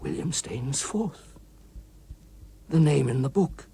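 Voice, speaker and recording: A man's voice: mid-range voice, lively intonation, takes it slowly, creaky, dark tone, a little hiss or hum. A man voicing a nervous, polite and fearful writer, anxious to please, in a dramatic audiobook. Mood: frightened. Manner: anxious, hesitant, eager to please.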